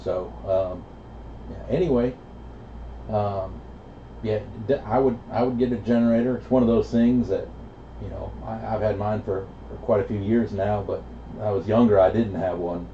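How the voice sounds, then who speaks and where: A middle-aged American man with a very dark, soft-edged low voice chats casually on CB radio. He is laid-back and halting. The reception is echoey, with faint noise. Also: fairly even intonation, dull audio. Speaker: amateur radio operator.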